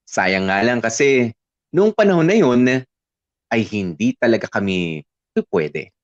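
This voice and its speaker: A man's voice: on the low side, very animated, unhurried, muffled recording. A Filipino man playing a young student who narrates his college crush story in a confiding, playful, conversational way. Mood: wistful. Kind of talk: dramatized first-person story narration.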